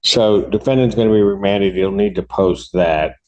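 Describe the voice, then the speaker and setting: A man speaking as a judge, handing down rulings from the bench in a deliberate, halting official way. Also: fairly low pitch, darkish tone. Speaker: judge presiding over a court hearing. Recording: dull lo-fi courtroom audio.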